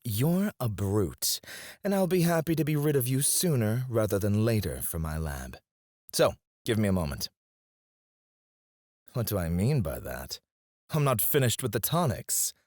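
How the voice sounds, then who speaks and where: A man's voice: on the low side, no room sound, studio quality. An actor playing a haughty, sarcastic, prickly and flirtatious male court alchemist in an ASMR fantasy roleplay, with a sardonic drawl. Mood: annoyed, disdainful. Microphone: close studio mic, dry.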